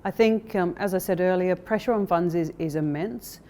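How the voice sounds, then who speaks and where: A woman speaking as a company director in a corporate interview, explaining things in a measured way. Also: lowish voice, darkish tone, a little hiss or hum. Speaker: interviewee, managing director of an investment firm.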